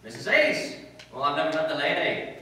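Bright male voice, amiable puzzled printer acted by a man, radio comedy, very echoey, distant mic. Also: a little high, very animated, a little fast, slight background noise. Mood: polite, puzzled. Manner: polite, businesslike. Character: amiable, puzzled printing shop tradesman on telephone. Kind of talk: old-time radio comedy acting on stage. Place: public hall stage before seated audience. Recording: echoey hall, distant microphone.

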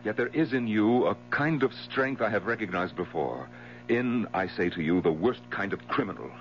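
Grave, formal gentleman acted by a man, very low and expressive, moral indignation, old radio play. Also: soft background music, natural voice. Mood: stern, accusing. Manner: formal, solemn, deliberate. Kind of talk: 1970s radio drama acting. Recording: dull 1970s radio recording with hum.

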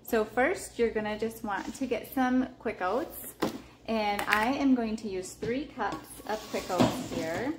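A woman casually talking through her meal prep in a home kitchen, relaxed and chatty with lots of ums. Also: a little high, slow, very noisy. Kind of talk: casual cooking vlog narration. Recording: echoey kitchen with clattering dishes. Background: clinking cutlery, dishes and pans.

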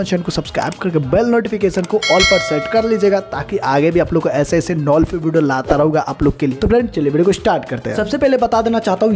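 A man's voice: sits high, darkish tone. A young man giving a casual, repetitive step-by-step tutorial narration, speaking directly to viewers. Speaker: tech tutorial YouTuber. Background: background music.

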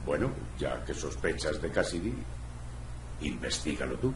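A man voicing a gruff, weary cat private detective in a video game, with a deep gravelly voice, a terse, dry delivery. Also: sing-song, normal speed, slight background noise. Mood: firm, wry. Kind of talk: video game dialogue acting. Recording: roomy echo, faint music behind.

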